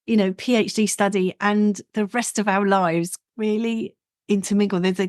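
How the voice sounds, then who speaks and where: A woman's voice: mid-range voice, no room sound. Encouraging woman podcast host, dry sound. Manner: encouraging, affirming. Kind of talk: conversational interviewing.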